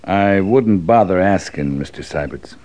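An actor playing a stern, guarded cavalry captain in a 1950s radio western, curt and commanding. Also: male voice, deep voice, animated, a little slow, darkish tone. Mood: cold, curt. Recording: dull, faintly noisy old radio recording.

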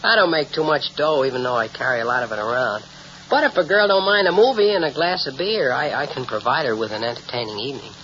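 An eager, trusting American courier in a vintage radio play. He talks fast and slangy, with lively swings of pitch and earnest charm. The sound is dull, with faint noise. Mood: smitten, eager. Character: eager, smitten, naive working-class male cash courier. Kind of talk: 1940s-50s radio drama acting. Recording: muffled, faintly noisy vintage radio recording.

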